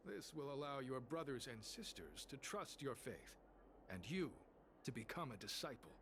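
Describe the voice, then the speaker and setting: A man's voice: medium pitch, big pitch swings, normal speed, a bit bright, a little hiss or hum. An actor playing a devout male cult disciple in a video game, preaching in a solemn, formal, sanctimonious manner. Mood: solemn, instructive.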